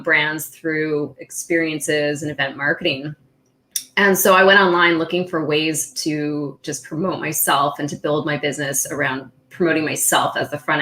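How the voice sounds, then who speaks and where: A woman's voice: low-pitched, pushed and bright, low-quality recording. A middle-aged woman, born in Austria and raised in California, telling her story as a podcast guest in an upbeat, chatty way with frequent laughs. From born in Austria, grew up in California. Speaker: podcast guest, social media marketer. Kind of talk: casual interview talk.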